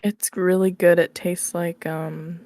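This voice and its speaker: A young woman with a fairly low voice, recorded close and dry. She talks casually as a podcast guest, informal and prone to giggling, often softening her statements as she goes. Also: little pitch movement, slow, dry recording. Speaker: podcast guest, audio roleplay creator. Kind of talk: casual interview chat.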